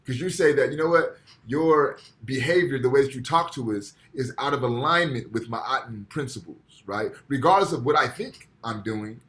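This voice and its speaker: A man's voice: medium pitch, lively intonation, moderate pace, few pauses, a bit bright. A man giving a university lecture over a video call, explaining ideas step by step and checking in with "right?" after each point. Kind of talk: online lecture. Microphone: computer mic on video call.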